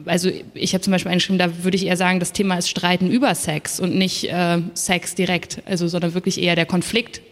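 A woman's voice: fairly low pitch, little pitch movement, fast talker, hardly any pauses. A woman author talking conversationally and thoughtfully in a panel discussion, often adding little tag questions. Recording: reverberant hall at book fair.

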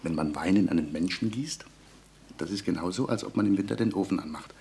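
Casting: young wartime resistance man in a radio drama; low, gravelly, hushed, tender and wry. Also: a little fast. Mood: tender, teasing. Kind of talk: German radio play acting, intimate dialogue and narration. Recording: faint background noise.

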